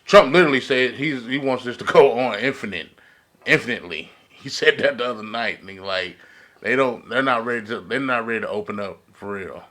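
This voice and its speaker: Forceful, bright American man, medium pitch. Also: a little fast. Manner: informal, slangy, repeats phrases. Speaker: podcast panelist. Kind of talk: casual podcast discussion.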